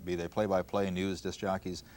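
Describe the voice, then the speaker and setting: A mature American man with a lowish voice. He reminisces in a group, starting and restarting phrases and nudging others to tell their stories. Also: level tone, a little hiss or hum. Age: middle-aged or older. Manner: halting, repeats words, prompts others. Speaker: former radio station colleague.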